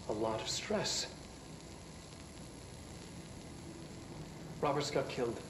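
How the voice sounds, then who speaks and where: A man's voice: mid-range voice, close to an everyday voice. An actor playing an eccentric male scientist who rambles and stammers through a lecture, heard from a projected recording. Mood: nervous. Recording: echoey distant projected film with clear noise.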